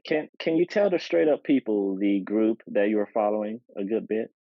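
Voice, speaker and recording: A man's voice: on the low side, expressive, moderate pace, no echo. A man teases his co-host on a casual podcast. He sits a little away from the mic, and the sound is dry and dull. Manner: teasing. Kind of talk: casual chat.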